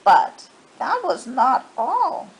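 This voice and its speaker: A woman reading a storybook aloud to children with expressive narration. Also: high-pitched, drawn-out words, poor audio. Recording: faint background noise.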